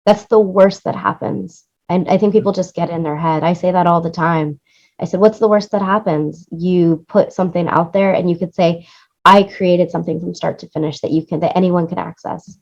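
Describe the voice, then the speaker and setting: A woman's voice: lowish voice, steady tone, moderate pace, gravelly, a little mellow, muffled recording. A woman in her late thirties talking casually in an interview, emphatic and repeating her points for stress. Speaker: interview guest, music supervisor and producer.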